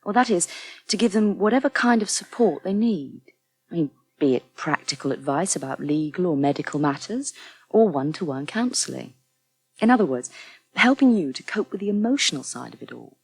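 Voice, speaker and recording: Radio soap: supportive, plain-speaking counsellor woman, earnest and fierce, with a rough-edged animated voice. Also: on the low side, moderate pace, heavily acted, poor audio. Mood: reassuring, earnest. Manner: earnest, plain-spoken, firm. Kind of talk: British radio soap acting.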